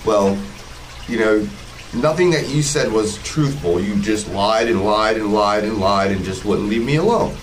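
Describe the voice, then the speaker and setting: A man's voice: on the low side, a little slow, slight background noise, poor audio. An American man in his forties narrating footage casually, in a confrontational, sarcastic manner with plenty of swearing. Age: 40s. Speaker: video blogger, police auditor.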